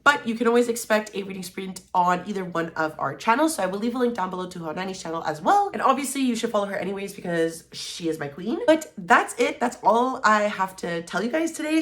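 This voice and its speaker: A woman's voice: medium pitch, fairly bright tone. Enthusiastic woman hosting a book vlog. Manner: enthusiastic, informal, slangy. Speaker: book vlogger, channel host. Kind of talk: chatty scripted-and-casual book vlog to camera.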